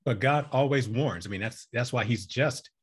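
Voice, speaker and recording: A man's voice: medium pitch, normal speed, slightly rough. A young man on a video call, explaining Bible passages earnestly and stumbling over repeated words. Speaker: Bible teacher in discussion. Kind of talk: casual video call discussion. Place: indoors with a virtual background. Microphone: video call webcam.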